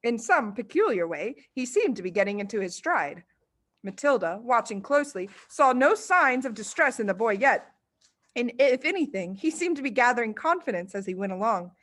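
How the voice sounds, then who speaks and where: A woman reading a children's story aloud as expressive scripted narration. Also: a little high, moderate pace. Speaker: storybook reader.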